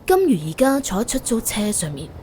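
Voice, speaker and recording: A woman narrating an audiobook in an animated, colloquial storytelling style with a wry, expressive manner. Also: mid-range voice, fairly quick, a little hiss or hum.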